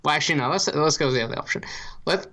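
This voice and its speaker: A man from Ireland with no marked accent, co-hosting a podcast and calmly laying out his reasoning in a dry, deliberate way. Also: on the high side. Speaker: podcast co-host. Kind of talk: casual podcast chat.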